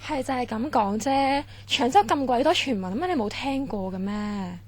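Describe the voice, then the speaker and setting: A woman's voice: a little high, animated, normal speed, a little mellow. A woman acting a timid young student camper in a Cantonese radio drama, talking in a worried, casual way. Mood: nervous. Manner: worried, casual youthful talk.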